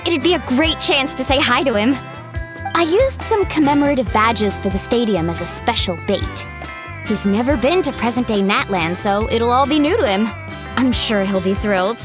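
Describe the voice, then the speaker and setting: Sunny young surfer type played by a woman, fantasy game, easygoing, high and lively, music behind. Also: moderate pace, natural voice. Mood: breezy. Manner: breezy, upbeat. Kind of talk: animated fantasy game acting.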